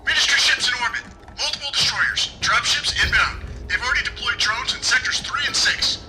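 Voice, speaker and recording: A man playing a frantic soldier yelling alarms in a sci-fi war audio drama. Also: extremely high pitch, fairly quick. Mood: urgent alarm. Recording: distant shouting under very loud music.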